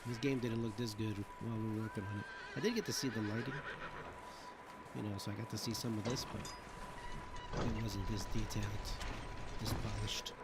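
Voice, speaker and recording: A man's voice: lowish voice, fairly even intonation, brisk, long pauses, heavy background noise. A man giving casual, laid-back commentary on a game he worked on, with dry asides. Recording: loud game ambience and horses behind. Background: video game sound.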